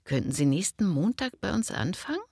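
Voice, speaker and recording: A woman's voice: medium pitch, sing-song, fairly quick, vocal fry, darkish tone, dry recording, studio quality. A woman acting a friendly, frank boss interviewing an applicant in a scripted language lesson, cordial and businesslike. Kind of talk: scripted language-learning dialogue.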